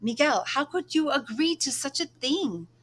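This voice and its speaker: A woman plays a proud teenage girl in a storybook, quick to tease and to flare up. The voice is lifted in pitch over a noisy recording. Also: lively intonation, fairly bright tone. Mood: indignant. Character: proud, teasing, hot-tempered teenage girl. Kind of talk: children's book read-aloud.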